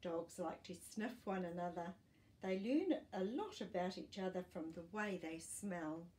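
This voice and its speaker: A woman in her sixties reading a children's picture book aloud in an expressive, teacherly way, as if to young listeners. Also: on the low side, unhurried. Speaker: children's storytime reader. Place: small furnished living room, indoors.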